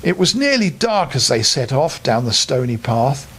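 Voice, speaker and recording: A man's voice: mid-range voice, sing-song, normal speed, a bit bright, husky. An older man narrating a children's bedtime story expressively, as a storyteller.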